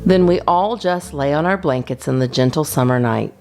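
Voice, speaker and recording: A woman narrates a children's storybook as its host, in a slightly low voice that swings expressively. There is noticeable creak in her tone, and soft music plays behind her theatrical reading. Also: normal speed.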